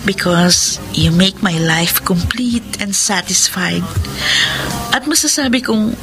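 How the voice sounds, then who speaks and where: A gentle Filipino wife speaks slowly and lovingly, modest in manner. It is radio drama acting over music. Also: female voice, medium pitch, low-quality recording.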